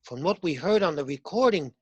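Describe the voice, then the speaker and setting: A woman's voice: low voice, expressive, fairly quick, a little mellow, breathy edge, dry recording, dull audio. An actress in a radio play, playing a shaken, grave woman, earnest and dramatic in her questioning. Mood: grave, pressing.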